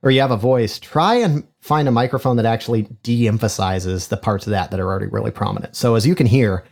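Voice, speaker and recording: A man's voice: medium pitch, fast, vocal fry, a little mellow. Nasal, honky-voiced man explaining. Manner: chatty, explaining, self-deprecating. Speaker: podcast guest, microphone designer. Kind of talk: casual interview chat. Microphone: studio condenser mic in figure-eight pattern.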